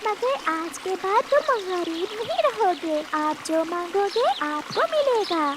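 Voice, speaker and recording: Very high-pitched kind fairy voiced by a woman. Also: animated, takes it slowly, heavily acted. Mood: comforting. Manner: reassuring. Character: kind, protective good fairy. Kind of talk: Hindi children's cartoon dialogue. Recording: loud trickling water noise behind.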